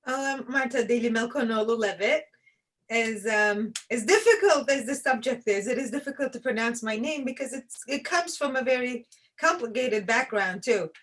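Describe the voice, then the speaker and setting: A woman from Turkey speaking as a panelist in a measured, thoughtful way. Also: fairly high pitch, animated, fairly bright tone. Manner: measured, thoughtful with some um fillers. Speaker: panelist, immigration attorney. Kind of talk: panel discussion.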